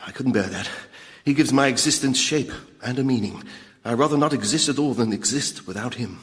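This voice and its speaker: A man's voice: mid-range voice, a little fast, lightly acted. Man as fawning demon minion in cavernous reverb. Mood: wistful, devoted. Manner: obsequious, gushing, earnest. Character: fawning, lovesick demon minion devoted to Satan. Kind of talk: radio comedy drama acting. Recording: reverberant hall sound, noisy.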